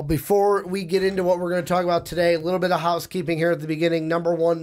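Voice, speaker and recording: A young man hosting a sports podcast, talkative and upbeat, sounding nasal and stuffy from a cold, speaking into a studio microphone. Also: a little high. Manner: upbeat, talkative, reads plugs. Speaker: podcast host.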